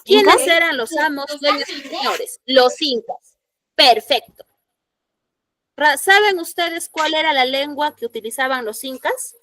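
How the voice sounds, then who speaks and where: A woman's voice: high voice, animated, a little slow, pushed and bright. A woman teacher with a Peruvian Spanish accent gives a classroom lecture. She explains patiently and keeps checking in with her students through short tag questions.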